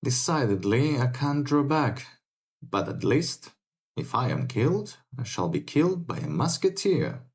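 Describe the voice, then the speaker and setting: A woman reading an audiobook, voicing a hot-tempered young Gascon swordsman who is proud and quick to take offence. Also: very deep voice, big pitch swings, a little mellow, pitched below the performer's own voice, exaggerated voice.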